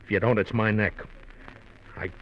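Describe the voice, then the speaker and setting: An actor playing a hunted, pushy small-time crook in an old radio crime drama, blunt, slangy and insistent. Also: male voice, on the low side, steady tone, normal speed, natural voice. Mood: threatening. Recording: muffled, hissy old radio recording.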